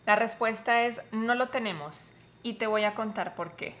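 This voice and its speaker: A Colombian woman with a Colombian accent who runs a Spanish-teaching vlog, explaining clearly and step by step to the camera. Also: fairly high pitch, normal speed, a bit bright, a little hiss or hum.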